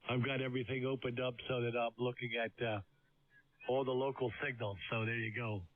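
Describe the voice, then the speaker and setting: A man's voice: medium pitch, flat delivery, a little fast, a little hiss or hum. A man on a ham radio net, talking in an easygoing, friendly way. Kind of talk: casual on-air radio chat. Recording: thin phone-like radio audio.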